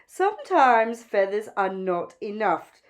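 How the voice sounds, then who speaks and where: A woman voicing a vain, bossy, flamboyant female bird in a children's storybook, theatrical and gushing with drawn-out emphatic words. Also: mid-range voice, big pitch swings. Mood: bossy, showy.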